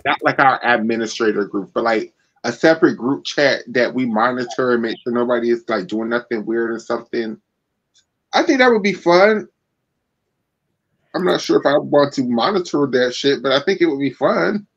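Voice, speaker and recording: A man's voice: mid-range voice, expressive, moderate pace. A man hosting a casual podcast, chatting in an upbeat way with loose phrasing and lots of fillers. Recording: slightly dull audio.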